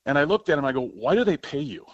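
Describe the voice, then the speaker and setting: A man's voice: a little high, big pitch swings, quick pace, no echo. A man with an American accent calling a radio show and talking casually, over a dull phone connection. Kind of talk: casual anecdote telling. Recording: dull, dry phone call sound.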